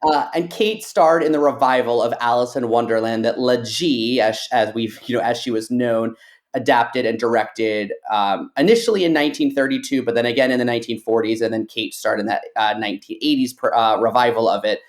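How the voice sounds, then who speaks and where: A man's voice: medium pitch, a little fast. A man hosting a podcast, chatting casually and giving his opinions in a loose, talkative way. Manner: chatty, opinionated, uses "like" often. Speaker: podcast host. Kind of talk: casual interview chat.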